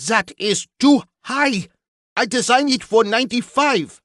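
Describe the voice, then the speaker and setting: A man's voice: very high voice, a little slow, a bit bright, no echo, clean studio sound, pitched above the performer's own voice. A man voicing a temperamental male designer who speaks broken English with haughty, explosive scorn. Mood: indignant. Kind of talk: audiobook character voice.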